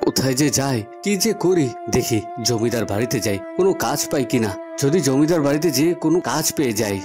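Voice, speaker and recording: A man's voice: medium pitch, expressive, fast talker, close to an everyday voice. A man voicing a poor young village son in a cartoon, grumbling yet dutiful and earnest. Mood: worried, pleading. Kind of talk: cartoon voice acting. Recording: music behind the voice.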